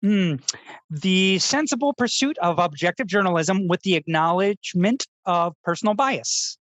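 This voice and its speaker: A man playing an earnest, insecure public-radio essayist with a precise, soft, overly sincere delivery in a radio comedy. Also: high-pitched, animated, moderate pace, no echo. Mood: pleading earnest. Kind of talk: confessional public-radio narration parody.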